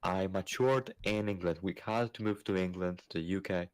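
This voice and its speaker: A man's voice: deep voice, moderate pace. A man from Spain with a Spanish accent, chatting easily on a gaming livestream and chuckling often. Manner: easygoing, chuckling, trailing off mid-thought. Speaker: streamer. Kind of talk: casual gaming stream chat.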